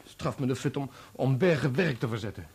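A betrayed husband in a radio play, bitter and proud. His mid-pitched voice is rough and moves sharply up and down. He accuses with wounded sarcasm. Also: lively intonation, a little fast, darkish tone. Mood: bitter, weary. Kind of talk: Dutch radio play acting.

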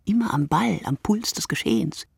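Sleepless woman narrator, husky, gravelly, reflective, measured phrasing, literary radio play. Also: medium pitch, animated, a little mellow, no room sound, clean studio sound, heavily acted. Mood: dry, ironic. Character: sleepless woman musing in a literary night monologue. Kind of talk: scripted literary radio monologue.

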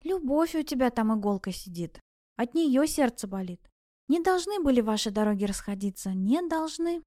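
Woman acting a old village granny, raised pitch, tender, folksy, knowing, gently chiding, audiobook character, dry sound. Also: expressive, normal speed, no room sound, close to an everyday voice. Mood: regretful. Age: older.

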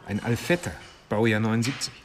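A man's voice: lowish voice, steady tone, brisk, slight background noise, close to an everyday voice. A man playing a practical, teasing police colleague who talks in a wry, matter-of-fact way in a radio crime drama.

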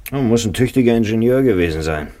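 A man's voice: lowish voice, expressive, fast talker, mellow, no echo, close to an everyday voice. A man acting a wry, chatty small-town petrol station keeper in a German radio play, folksy and colloquial. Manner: folksy, colloquial, deferential to the doctor.

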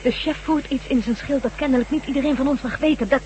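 Actrice als hoge, dringende vrouwelijke bondgenoot. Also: female voice, high-pitched, fast talker, mellow, close to an everyday voice. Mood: shaken, concerned. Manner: pleading, insistent, dramatic. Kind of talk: Dutch science fiction radio drama acting. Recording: noisy old radio drama recording.

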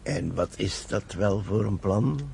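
A cautious older rabbi in a radio drama, with a fairly low voice. He counsels in a grave, deliberate, admonishing way. There is some noise on the old recording. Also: creaky, a little mellow. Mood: incredulous, admonishing. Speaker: actor in a radio play. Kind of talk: Dutch radio drama acting.

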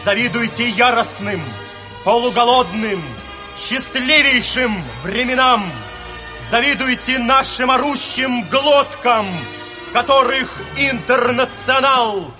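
An actor playing a fervent revolutionary orator, declaiming poetry in an exalted, deliberate way for a Soviet radio drama. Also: male voice, very high voice. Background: music.

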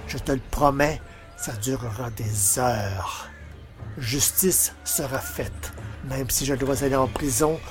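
An actor playing a bitter, vengeful village carpenter, speaking in a brooding, menacing monologue for a French audio drama. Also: male voice, medium pitch, slow pace, over music, close to an everyday voice.

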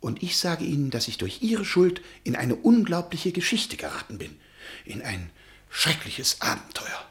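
An actor playing a naive, earnest, bookish gentleman who is easily flustered, in a German radio play. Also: on the high side, huge pitch swings, moderate pace. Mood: uneasy wonder. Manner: pedantic, pleading, bewildered.

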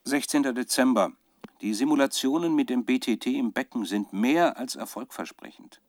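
A man's voice: medium pitch, darkish tone, lightly acted. An actor playing a male scientist who keeps a troubled, fervent spoken research diary in a radio drama, often searching for words. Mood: sober, reporting. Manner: halting, searching for words, dramatic shifts from musing to fervour. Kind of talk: radio drama monologue as dictated audio diary.

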